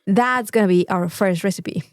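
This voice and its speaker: A woman's voice: mid-range voice, moderate pace, no echo, clean studio sound. A woman with a Mexican accent and Spanish as her first language, chatting casually as a podcast co-host. She is effusive and repeats words for emphasis. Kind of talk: casual chat, anecdotes.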